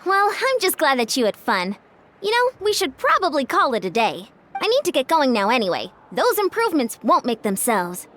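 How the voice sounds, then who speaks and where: An actress playing an earnest, brisk, energetic young female sports coach in a video game. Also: high-pitched, a little hiss or hum, exaggerated voice. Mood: friendly.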